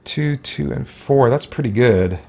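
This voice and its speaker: A man's voice: medium pitch, lively intonation, measured pace, creaky, a little mellow, a little hiss or hum. A man giving casual gameplay commentary as a let's play host, thinking aloud and muttering to himself as he goes.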